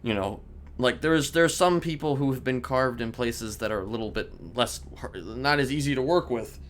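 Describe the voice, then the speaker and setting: A man playing a casually sadistic criminal in an online roleplay, talking in a halting, rambling, matter-of-fact way with casual swearing. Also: mid-range voice, lightly acted. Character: rambling, casually sadistic male criminal confessing his violent deeds. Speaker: streamer role-playing a character. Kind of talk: improvised in-character roleplay dialogue. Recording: clear background noise.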